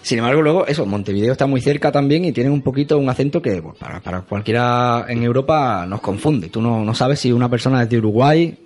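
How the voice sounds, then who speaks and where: A man from Spain with a Spanish accent, hosting a laid-back podcast conversation. He talks in a soft-spoken, thoughtful way and fills the pauses with murmured agreement. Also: medium pitch, expressive. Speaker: podcast host. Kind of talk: casual conversation.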